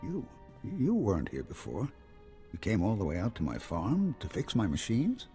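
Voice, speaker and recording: An actor playing an anxious, proud old hermit farmer and former guard in a video game, hesitant and halting. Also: male voice, lowish voice, wildly swooping pitch, mellow. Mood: wary, worried. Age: older. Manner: hesitant, halting, repeating himself. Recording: long hall reverb, music behind.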